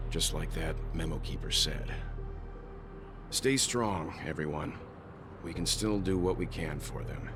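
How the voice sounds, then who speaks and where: An actor playing a grave, analytical mentor in a game, a mature man with a gravelly voice and measured manner. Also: extremely low pitch, exaggerated voice. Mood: resolute. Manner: measured, deliberate, serious. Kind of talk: game story dialogue. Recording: clear music bed behind.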